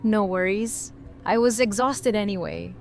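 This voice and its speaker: A woman acting a wry, warm-hearted best friend in an audio drama, casual and joking. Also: medium pitch, measured pace, gravelly. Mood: teasing, kind.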